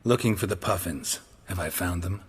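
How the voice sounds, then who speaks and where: An actor playing a stoic, gruff monster hunter in a video game, speaking in a terse, deadpan way. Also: male voice, low voice, moderate pace, in a large hall. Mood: dry, businesslike.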